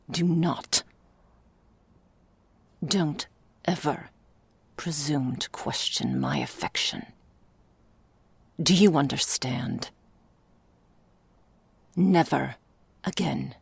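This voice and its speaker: A woman playing an ancient, elegant vampire mistress in an ASMR roleplay, speaking formally and deliberately in a breathy, gravelly, whispery voice. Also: low-pitched, animated, no echo, full character voice. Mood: stern, menacing. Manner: slow, formal, theatrical, deliberate.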